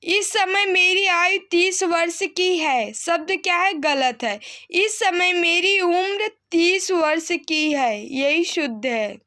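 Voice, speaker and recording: Bright, high-pitched woman teaching slowly. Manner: didactic, repeats sentences and asks then answers her own questions. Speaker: Hindi grammar teacher for exam candidates. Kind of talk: instructional lesson, reading sentences and correcting them.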